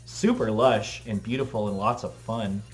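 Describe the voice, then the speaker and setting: A man's voice: lowish voice, normal speed, faint music behind. A man chatting casually as a speaker on a podcast. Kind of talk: casual chat.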